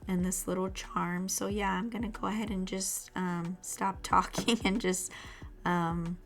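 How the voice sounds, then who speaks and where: A woman hosting her own nail channel who is losing her voice, speaking in a hushed, breathy near-whisper. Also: fairly low pitch, little pitch movement, unhurried, soft background music. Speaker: nail art channel host. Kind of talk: casual vlog aside.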